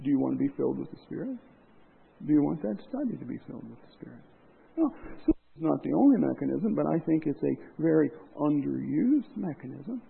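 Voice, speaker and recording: A man preaching a sermon, impassioned, rising to loud emphasis and dropping low and quiet. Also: big pitch swings, a little fast, gravelly, breathy edge. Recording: tinny phone-like lo-fi sound.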